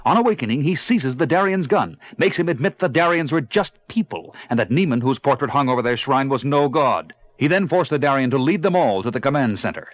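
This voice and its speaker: A man's voice: mid-range voice, expressive, fairly quick, hardly any pauses, no room sound. A man narrating a scripted audio drama in a storytelling style. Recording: loud music under the voice.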